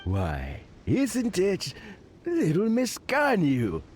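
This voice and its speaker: A man's voice: sits high, wildly swooping pitch, drawn-out words, a little hiss or hum, heavily acted. An actor playing a kindly, teasing old man with an amused, drawling delivery in a video game. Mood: genial, teasing. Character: genial, teasing old uncle, kindly and unhurried. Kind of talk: anime-style video game acting.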